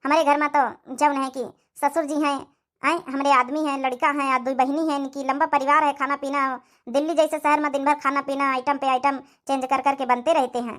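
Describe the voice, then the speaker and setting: A woman's voice: high-pitched, little pitch movement, a little fast, fairly bright tone. A woman voicing a polite but choosy prospective mother-in-law, matter-of-fact, in a cartoon comedy. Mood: dismissive.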